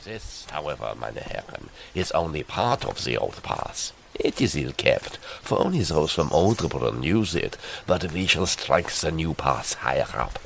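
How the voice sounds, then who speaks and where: A hearty Alpine guide in an audio drama. His gravelly voice sits very deep and swings boldly in pitch. Clear background ambience surrounds him. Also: sing-song, a little slow, hardly any pauses, a little hiss or hum, exaggerated voice. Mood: proudly informative. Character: male Swiss mountain guide, hearty and practical.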